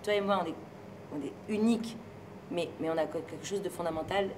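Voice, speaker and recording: A woman from France being interviewed, speaking in a relaxed, thoughtful and hesitant way and laughing easily. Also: lowish voice, brisk. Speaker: interviewee, singer and stage performer. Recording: noisy location recording with ambient sound.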